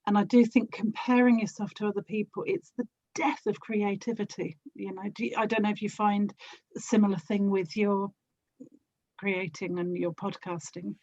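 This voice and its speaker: A woman's voice: mid-range voice, steady tone, moderate pace, a little mellow, no echo. A woman speaking as a podcast guest in casual, thoughtful conversation, on a dry and slightly muffled microphone. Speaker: podcast guest, audio drama actor and producer.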